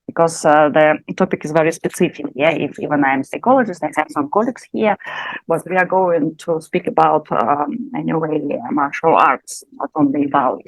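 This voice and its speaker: A woman's voice: deep voice, normal speed, vocal fry, dry recording, poor audio. A woman with a Russian accent giving an informal lecture in English, hesitant and full of fillers. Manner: hesitant, many fillers, laughs often.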